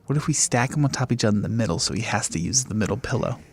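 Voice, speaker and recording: A man's voice: on the low side, expressive, very fast, gravelly, slightly rough, dry recording. A man narrating an improvised tabletop game in a casual, loosely descriptive way. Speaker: podcast player narrating his character's actions.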